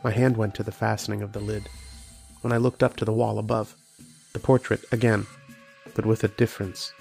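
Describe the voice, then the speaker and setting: An actor playing a haunted, fearful scientist who narrates his ordeal in a dramatic, halting horror radio monologue. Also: fairly low pitch, little pitch movement, vocal fry, a little mellow, faint music behind. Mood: fearful resignation. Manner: dramatic, halting, repeating phrases.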